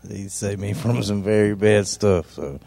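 A man's voice: fairly low pitch, a little slow, vocal fry, dark tone, no room sound. A man from North Carolina, giving a sincere, hesitant personal testimony.

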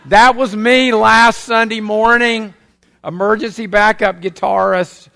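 Older pastor with a gravelly voice. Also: male voice, sits high, fairly even intonation, slow, bright, forceful tone. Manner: folksy, chatty storytelling with many uhs. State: head cold. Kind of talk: sermon.

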